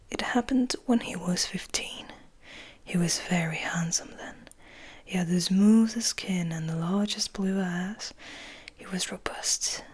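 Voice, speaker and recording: A woman reads fiction in an ASMR style, almost entirely in whisper. Her voice is extremely breathy and airy, sitting a little low for a woman. She tells the story gently and without hurry, keeping everything soft. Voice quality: breathy, mostly unvoiced whisper. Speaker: ASMR host and reader.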